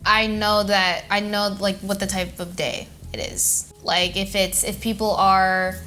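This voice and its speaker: A teenage girl chatting casually with her father, playful and animated, acting out little scenes as she explains. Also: mid-range voice, a little slow, slight background noise. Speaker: daughter, co-host. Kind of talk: casual chat.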